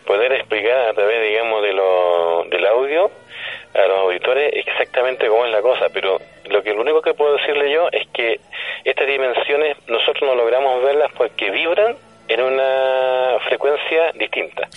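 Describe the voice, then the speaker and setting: A Chilean man talks as a guest in a radio interview, at a middling pitch. He explains his ideas like a teacher, posing questions and checking that the listener follows. The sound is dull and lo-fi, like a telephone link. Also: normal speed. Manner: didactic, asks rhetorical questions and checks understanding. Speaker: radio guest, paranormal commentator.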